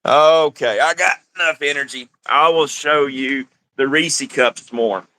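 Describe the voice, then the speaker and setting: A man's voice: on the high side, unhurried, sharp tone, dry recording. A man co-hosting a livestream, chatting bluntly with crude jokes and frequent laughter. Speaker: livestream co-host. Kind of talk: casual joking commentary.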